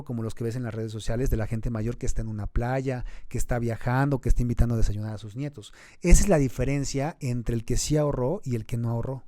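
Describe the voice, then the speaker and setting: A man's voice: mid-range voice, warm tone, no echo, studio quality. A Mexican man in his thirties who works as a financial advisor, explaining retirement savings in an interview in an earnest, advising way and building to emphatic points. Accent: Mexican Spanish. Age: 30s.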